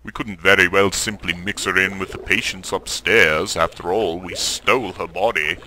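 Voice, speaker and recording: An actor playing a sinister, genteel mad doctor with pompous, formal diction in a horror radio parody. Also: male voice, low voice, steady tone, moderate pace, bright, forceful tone, a little hiss or hum, low-quality recording. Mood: smooth, evasive.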